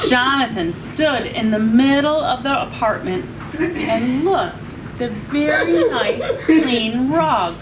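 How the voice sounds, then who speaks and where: A woman's voice: high-pitched, expressive, takes it slowly, a loud crowd, poor audio. A woman reading a children's picture book aloud as a story-time reader, playful and often chuckling.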